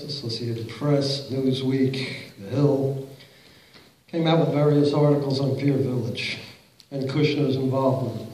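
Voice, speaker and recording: A man's voice: mid-range voice, darkish tone. A middle-aged man making a public comment at a council meeting, reading quotes aloud and firing pointed yes-or-no questions from the podium. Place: large council chamber, indoors. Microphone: podium mic, recorded by a far camera in the audience. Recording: very echoey hall from far off.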